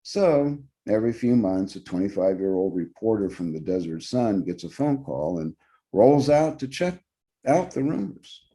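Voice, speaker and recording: A man with a very low, dark and mellow voice reads a short story. He narrates in the first person like an actor on stage, measured and dramatic, letting phrases linger. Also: very animated.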